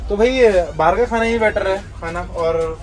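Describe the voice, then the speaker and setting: A man's voice: high voice, normal speed, a loud crowd, poor audio. A man in his thirties chatting to camera as a travel vlogger, filming himself with a phone at arm's length inside a train coach. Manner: chatty, calls himself "your brother", addresses viewers as guys. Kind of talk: casual vlog narration to camera. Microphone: phone held at arm's length, selfie framing.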